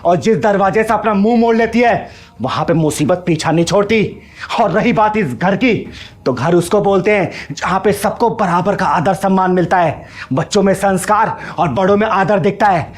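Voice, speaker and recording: A man's voice: high voice, moderate pace, lightly acted. A man playing a bold, righteous kinnar elder sister in a Hindi short film, speaking emphatically. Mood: righteous scolding.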